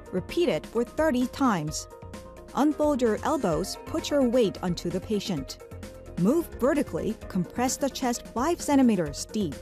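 A woman reading scripted first-aid instructions in a calm, instructive way. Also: fairly high pitch. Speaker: narrator of a safety announcement. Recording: music behind the voice.

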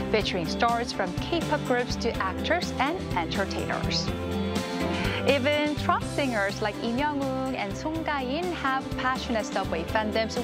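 A woman reading a scripted news feature in a polished, even way. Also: a little high. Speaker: news presenter. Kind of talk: scripted narration. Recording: voice-over with loud music bed.

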